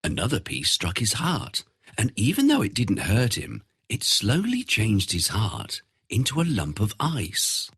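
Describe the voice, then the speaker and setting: Husky, very animated male storyteller. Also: fairly low pitch, a little slow. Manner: expressive storytelling. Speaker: narrator. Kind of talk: scripted fairy-tale narration.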